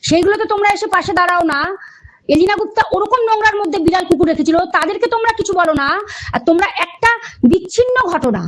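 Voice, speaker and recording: Woman, very high pitch, rapid pace, defensive and insistent, heated phone conversation. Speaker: animal rescuer. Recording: phone call recording with music underneath.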